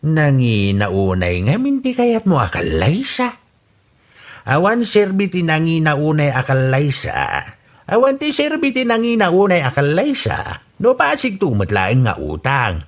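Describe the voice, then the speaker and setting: A man's voice: wildly swooping pitch, unhurried, full character voice. A man playing a lecturing Ilocano husband. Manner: lecturing, swinging pitch. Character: preachy older husband Ramos.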